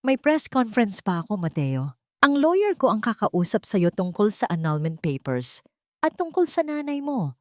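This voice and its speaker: A woman's voice: mid-range voice, big pitch swings, normal speed, no echo, pitched above the performer's own voice, natural voice. Woman reading a cold composed wife in audio drama, firm, done being pushed around, very dry. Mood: cold, dismissive. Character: cold, resolute wronged wife, newly powerful.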